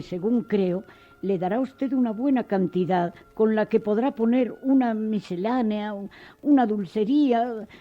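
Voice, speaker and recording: An actress playing a kindly, forgiving older schoolteacher in a radio soap, speaking gently, earnestly and formally. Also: female voice, mid-range voice, close to an everyday voice. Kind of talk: melodramatic radio soap acting. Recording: dull, band-limited radio drama recording with music.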